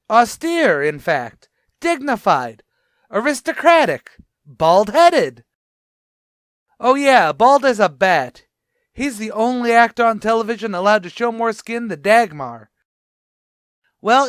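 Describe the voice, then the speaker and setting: A brash, fast-mouthed tavern manager, played by a man in a radio comedy, with a high, bright voice for a man. He talks in cocky, ungrammatical tough-guy patter. The sound is dry and close, with no room around it. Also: dry recording. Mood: wisecracking. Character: wisecracking middle-aged male tavern manager. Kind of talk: old-time radio comedy recreation.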